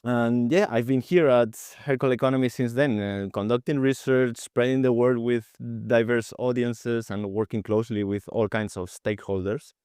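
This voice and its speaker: Man from Spain, thirties, smooth resonant voice, dry close sound, hesitant, restarts words. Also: mid-range voice, fairly even intonation, moderate pace, hardly any pauses, a little mellow, no echo. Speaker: guest researcher. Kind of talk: podcast interview answers.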